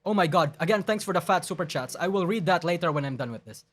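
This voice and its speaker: A man's voice: on the high side, expressive, fast. A man live-streaming, reading a document aloud and rebutting it in an emphatic, argumentative way, often restarting his sentences and swearing bluntly. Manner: blunt and profane.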